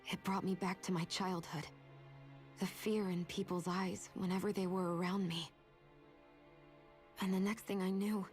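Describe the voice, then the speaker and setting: A woman voicing a guarded young heroine from a video game, her voice pitched a touch low, speaking softly with halting pauses. Faint game music sits underneath. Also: natural voice. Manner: soft, halting, introspective delivery.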